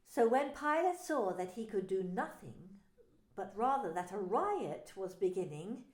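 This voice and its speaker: Expressive woman narrating scripture. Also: medium pitch, unhurried. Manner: expressive, dramatic storytelling. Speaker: narrator and minister. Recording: roomy echo.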